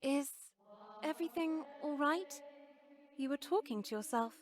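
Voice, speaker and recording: A woman playing a gentle, devout, chatty young nun in a visual novel, polite and effusive in manner. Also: high-pitched, unhurried. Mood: soft, reflective.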